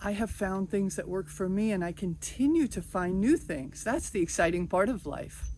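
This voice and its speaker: A woman's voice: medium pitch, animated, moderate pace, mellow. A middle-aged woman from New York giving an earnest, encouraging inspirational talk outdoors. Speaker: video host. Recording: outdoor noise in background.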